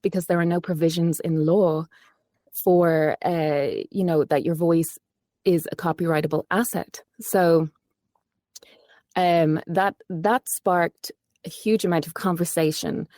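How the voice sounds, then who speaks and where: A woman's voice: on the low side, steady tone, measured pace, warm tone, dry recording, studio quality. A woman, a voiceover artist, answering interview questions earnestly. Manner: earnest and articulate.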